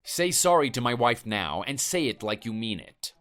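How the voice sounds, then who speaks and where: A man's voice: mid-range voice, very animated, moderate pace, fairly bright tone. A man playing a stern, threatening husband who lectures and intimidates his neighbor. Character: stern, menacing husband defending his wife.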